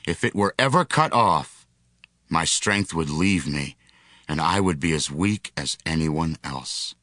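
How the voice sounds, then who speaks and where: An actor playing a strong, teasing biblical hero in an audio drama, with a drawn-out, deliberate delivery. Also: male voice, very deep voice, lively intonation, sharp tone, dry recording, clean studio sound, heavily acted. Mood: weary, confiding. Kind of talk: audio Bible drama acting.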